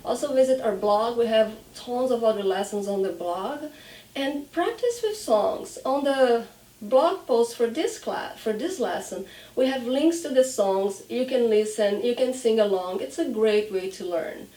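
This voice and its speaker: Casting: female language teacher, Brazilian accent, native Portuguese, soft warm tone, demonstrative enunciation, recorded at a distance from the mic. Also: fairly high pitch, lively intonation, a little slow. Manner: clear demonstrative enunciation, repeats example words. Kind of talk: instructional pronunciation lesson. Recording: roomy echo, distant microphone.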